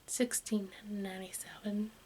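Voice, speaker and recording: A woman reading aloud in a breathy whisper for ASMR, haltingly and with small stumbles over the words. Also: medium pitch. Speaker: host of an ASMR channel. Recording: steady background noise, room ambience.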